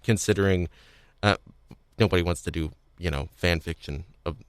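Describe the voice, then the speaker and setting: A man hosting a podcast, talking casually about audio dramas in a halting, hedging way and often chuckling. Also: sits low, clear, resonant tone, dry recording. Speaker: podcast host.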